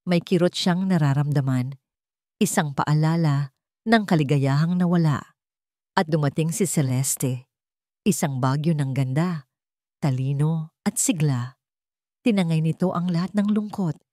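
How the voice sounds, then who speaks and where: A woman's voice: deep voice, warm tone, no room sound, studio quality. A woman reading a scripted dramatic story as its narrator.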